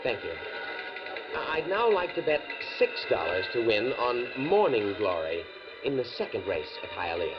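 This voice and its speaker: An American actor playing an earnest, stuffy and pedantic university scientist in a mid-century radio drama. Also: male voice, mid-range voice, big pitch swings, normal speed, over music, natural voice. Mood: flustered, hesitant. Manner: precise, pedantic, stammers when flustered. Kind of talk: 1940s-50s radio drama acting. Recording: muffled vintage radio drama recording.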